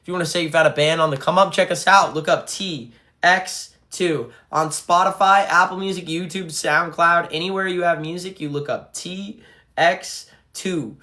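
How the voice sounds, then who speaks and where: A man's voice: fairly high pitch, fairly even intonation, moderate pace, bright, forceful tone. A young man who fronts a band, chatting casually on a livestream and reading fan comments aloud.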